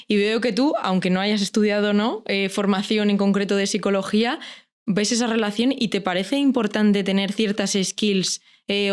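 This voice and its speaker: Smooth-voiced woman interviewer. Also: medium pitch. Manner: attentive, encouraging, frequent "ujú" backchannels. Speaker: podcast host, interviewer. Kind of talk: casual podcast interview.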